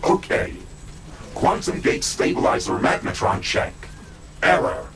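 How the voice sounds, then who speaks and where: An actor playing a calm, matter-of-fact male AI of a mecha suit in a sci-fi game, with clipped, formal technical reporting and a synthetic delivery. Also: unhurried, over music, lightly acted. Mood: procedural, flat report. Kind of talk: scripted sci-fi game dialogue.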